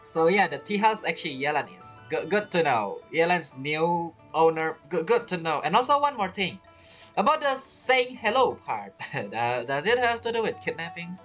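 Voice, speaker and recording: Casual gameplay commentator, lively pitch swings, halting non-native phrasing, faint music behind. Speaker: video game streamer. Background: game music.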